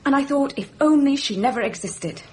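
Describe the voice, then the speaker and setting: An actress playing a bitter, candid young English gentlewoman in a radio drama, frank and brooding in manner. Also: high voice, animated, fairly quick, slight background noise. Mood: brooding, confessional.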